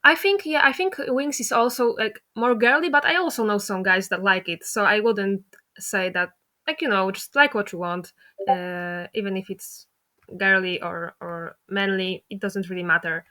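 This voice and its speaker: Bright-voiced woman interviewer. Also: medium pitch, moderate pace. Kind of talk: casual interview chat.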